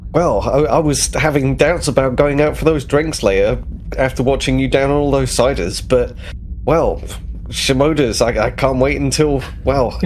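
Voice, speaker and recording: A man's voice: medium pitch, slight background noise. A man playing in a tabletop roleplaying game, speaking casually with a hesitant, stammering delivery.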